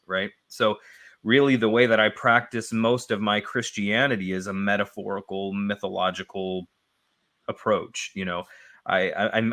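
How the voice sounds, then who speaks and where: A man's voice: lowish voice, a bit bright. A man co-hosting a reflective spiritual discussion, his voice a little scratchy and sniffly, thoughtfully repeating key phrases as he builds his ideas. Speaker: co-host.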